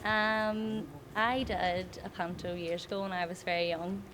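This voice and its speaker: A woman's voice: lowish voice, level tone, unhurried, music in the background. A young woman, an actress chatting casually in a promotional interview. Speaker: pantomime actress. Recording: clear background noise.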